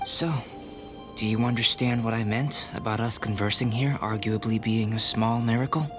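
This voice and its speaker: Male actor as a composed young alchemist, low measured voice, game music clearly underneath. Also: steady tone, lightly acted. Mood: philosophical. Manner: soft-spoken, measured, reflective. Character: calm young male alchemist, artificial being. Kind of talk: game cutscene voice acting. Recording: game soundtrack music behind.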